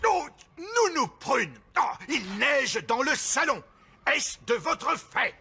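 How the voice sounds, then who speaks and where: An actor playing the stern, exasperated head of a cartoon royal household, fussy and authoritative, with a husky, strained voice. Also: male voice, very high voice, big pitch swings, slow pace, sharp tone, a little hiss or hum, full character voice. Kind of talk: French animated series dub.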